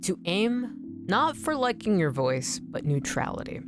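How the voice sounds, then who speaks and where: A young woman streamer with a slightly raspy, nerdy voice, chatting casually and encouragingly to her viewers in a rambling way. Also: mid-range voice, big pitch swings, measured pace. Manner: rambling, encouraging, lots of "like" and restarts. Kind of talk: casual chat to stream viewers while drawing. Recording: music playing in the background. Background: music playing.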